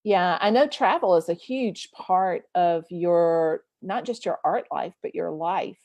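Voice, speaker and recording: A woman's voice: fairly low pitch, slow pace, darkish tone, no room sound. A woman interviewing an artist, attentive and affirming as she asks her questions. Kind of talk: casual interview.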